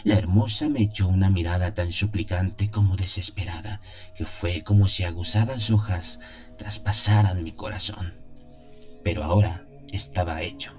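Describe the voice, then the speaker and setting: Male narrator for gothic audio fiction: very low, mellow voice, solemn theatrical delivery, music underneath. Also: steady tone, normal speed. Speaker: narrator of an audio horror story. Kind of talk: dramatic scripted first-person narration of a gothic tale. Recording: music behind the voice.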